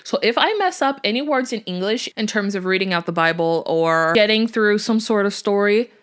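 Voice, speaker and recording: A woman's voice: medium pitch, animated, normal speed, a bit bright, clear, resonant tone. A young woman whose first language is Portuguese, hosting a faith-based podcast in an earnest, chatty way. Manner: earnest, chatty, with fillers and small laughs. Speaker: podcast host.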